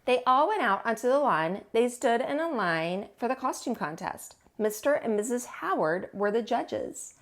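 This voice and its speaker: A woman hosting storytime, reading aloud to young children with an expressive, teacherly delivery. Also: on the high side, moderate pace, few pauses.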